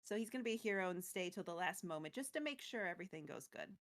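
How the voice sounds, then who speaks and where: A woman's voice: on the low side, expressive, fast. A woman co-hosting a casual recap of a fantasy story, telling it playfully and acting out bits as she goes. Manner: playful, acts out quotes and sound words. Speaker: co-host recapping a fantasy card game story.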